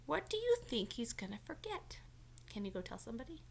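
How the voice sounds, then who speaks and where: A woman's voice: fairly high pitch, very animated, brisk, vocal fry, a little hiss or hum. A woman reading a storybook aloud as a teacher, encouraging and stopping to check in with young listeners. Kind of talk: storybook read-aloud with questions to children.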